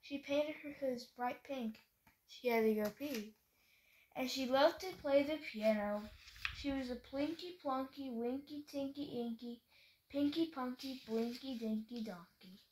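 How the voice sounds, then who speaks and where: A woman with a high voice reads a children's picture book aloud. Her delivery is playful and sing-song, with emphasis on the rhyming words. It is a homemade bedroom recording with clear background noise. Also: measured pace, darkish tone, low-quality recording. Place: bedroom at home, indoors.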